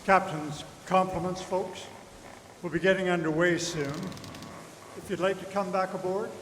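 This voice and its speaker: A man's voice: high voice, steady tone, normal speed, fairly bright tone. A man playing a dutiful ship's officer, deferential and formal, in an old-time radio drama. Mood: respectful. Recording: echoey, distant, noisy stage recording.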